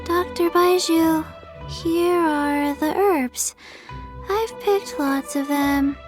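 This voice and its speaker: Halting little undead girl: high, slow and monotone, game music underneath. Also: heavily acted. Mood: flat. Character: small undead girl, flat, slow, simple speech.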